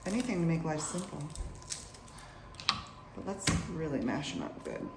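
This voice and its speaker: A young woman with a husky morning voice, chatting playfully to viewers in a cook-along vlog from her kitchen. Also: low-pitched, sing-song, normal speed, very noisy. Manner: bubbly and playful, talks to viewers while cooking. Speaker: cooking vlogger. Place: home kitchen. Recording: echoey kitchen.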